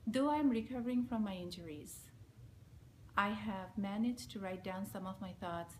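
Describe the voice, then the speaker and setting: Bangladeshi-accented woman, forties, solemn and measured reading to camera; middle pitch, light background hiss. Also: darkish tone. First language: Bengali. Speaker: speaker giving a recorded message. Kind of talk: reading a prepared statement. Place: small indoor room, plain wall. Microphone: front-facing camera at close range.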